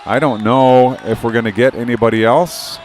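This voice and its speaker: A middle-aged man hosting a courtside broadcast sign-off, joking and rambling as he talks loudly. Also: medium pitch, fairly even intonation, moderate pace. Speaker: broadcast host. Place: loud gym with a crowd. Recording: noisy crowded gym. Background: crowd cheering, balls bouncing.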